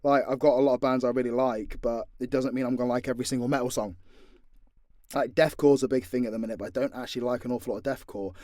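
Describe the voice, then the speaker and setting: A man's voice: mid-range voice, steady tone, fast talker, a little mellow, dry recording, studio quality. A British man in a casual, unscripted Q&A as an audio creator, talking bluntly and swearing freely. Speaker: audio drama creator answering fan questions.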